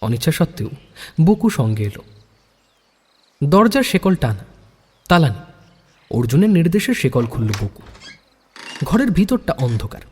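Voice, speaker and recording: Husky, animated male story narrator. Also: a little high, normal speed, pauses often. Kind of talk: scripted dramatic story narration. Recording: long hall-like reverb.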